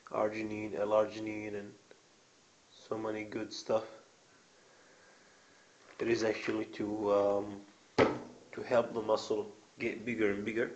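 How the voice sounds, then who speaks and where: A man's voice: fairly low pitch, a little mellow, dull audio. A man casually showing his gym supplements to a camera, rambling with many fillers and blunt, crude words, recorded on a built-in mic in a small room. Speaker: vlogger. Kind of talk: casual product show-and-tell.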